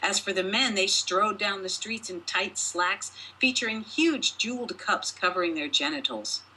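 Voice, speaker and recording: A woman author reading her own short story aloud as expressive storybook narration, with deliberate, dramatic phrasing. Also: medium pitch, a little hiss or hum, poor audio.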